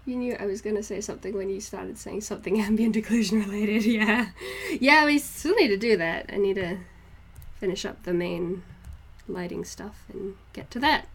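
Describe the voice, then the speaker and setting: A woman's voice: medium pitch, normal speed, breathy edge. A young woman with a mixed northeast English and New Zealand accent, chatting casually and ramblingly to viewers on a livestream, with a giggly, informal manner. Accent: hybrid northeast English and New Zealand. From northeast England, moved to New Zealand as a child. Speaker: art livestreamer.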